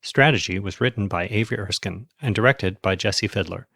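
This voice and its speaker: Low-voiced male festival announcer. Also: brisk, gravelly, a bit bright, husky, no room sound, clean studio sound. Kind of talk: scripted credits announcement.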